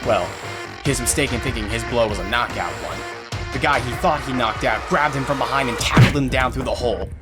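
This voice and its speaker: A man's voice: medium pitch, a little fast, loud music behind the voice. A man reading scripted narration for an audio drama, telling the scenes as the narrator.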